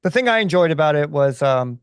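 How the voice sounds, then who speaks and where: A man's voice: a little high, expressive, brisk, clear, resonant tone, no room sound, clean studio sound. A man speaking casually as a guest on a podcast. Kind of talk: casual chat.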